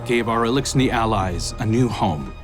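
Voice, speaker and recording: Guy playing a serious duty-bound space commander in a game, measured briefing delivery, earnest. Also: on the low side, animated, over music, close to an everyday voice. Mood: solemn resolve. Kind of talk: video game mission narration.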